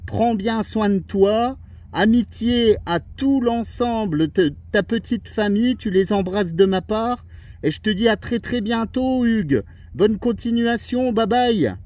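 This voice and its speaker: Mellow-voiced man, higher pitched, chatting over radio. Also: a little slow. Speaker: amateur radio operator. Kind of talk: casual radio contact chat.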